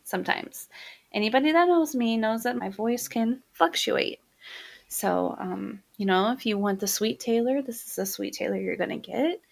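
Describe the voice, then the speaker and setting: A young woman hosting her own podcast, talking casually and soft-spoken, with lots of fillers. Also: fairly high pitch, lively intonation, normal speed, creaky. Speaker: podcast host. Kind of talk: casual solo podcast monologue.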